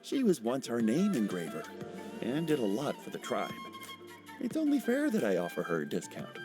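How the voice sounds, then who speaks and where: A welcoming male merchant from a game, genial and generous. His mid-pitched voice swings widely in intonation, with clear music behind him. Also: wildly swooping pitch, moderate pace, rough recording. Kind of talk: video game character acting.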